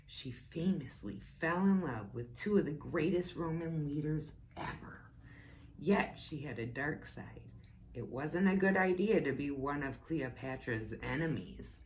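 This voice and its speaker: A woman reading a book aloud to children as a teacher, slow and deliberate, with playful asides to her listeners. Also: low voice, animated, a little mellow, a little hiss or hum. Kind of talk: read-aloud book lesson.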